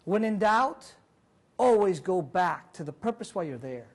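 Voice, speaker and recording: Male seminar speaker in his 40s with a fairly high voice, lively and audience-quizzing, recorded from across a conference room. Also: measured pace. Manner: animated, walks about and gestures, quizzes the audience. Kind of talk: interactive career seminar talk. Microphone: far camera, room mic.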